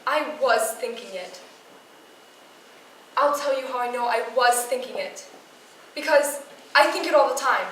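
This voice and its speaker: A woman's voice: a little high, fairly bright tone. A woman playing an insistent, accusatory nag who overthinks everything, in a stage monologue. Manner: insistent, needling. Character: needling, accusatory woman nagging a companion, obsessively overthinking. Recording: roomy, distant mic, clear noise.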